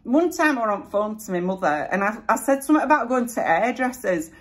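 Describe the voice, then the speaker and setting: A woman's voice: on the high side, big pitch swings, fast. A middle-aged woman with a broad Yorkshire accent, chatting through viewer questions in a casual vlog, with lots of fillers and frequent laughter. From North East England, raised in Yorkshire. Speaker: vlogger answering viewer questions.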